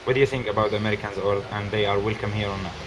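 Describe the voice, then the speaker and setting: A man with a Palestinian Arabic accent, interpreting street interviews in halting, ungrammatical English. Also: lowish voice, steady tone, crowd noise, poor audio. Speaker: street interpreter translating questions and answers. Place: outdoors on a street. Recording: busy street noise, passing vehicles.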